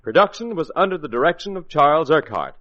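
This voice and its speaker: High-pitched vintage radio announcer man. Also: big pitch swings, fast, fairly bright tone. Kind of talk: scripted old-time radio credits announcement. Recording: muffled vintage radio broadcast.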